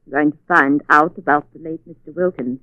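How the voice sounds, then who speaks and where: An actress playing a coldly calculating murderous wife, formal and icily polite, in 1940s radio style. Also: female voice, low voice, steady tone, sharp tone, no room sound. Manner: formal, measured, icy politeness. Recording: old phone-like 1940s radio, boxy room.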